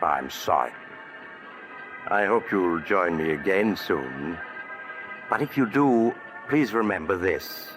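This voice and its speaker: A man's voice: low voice, expressive, takes it slowly, long pauses. An actor playing a sinister male storyteller who hosts a 1940s radio horror show, sly and darkly amused. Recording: old radio recording with music behind.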